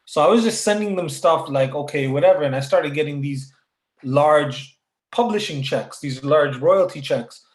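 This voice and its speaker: A man from Canada tells his story in a loose, rambling way, with plenty of filler words. His voice sits a bit high and comes through an echoey room. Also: normal speed. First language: Punjabi. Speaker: guest rapper. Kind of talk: casual interview over a call.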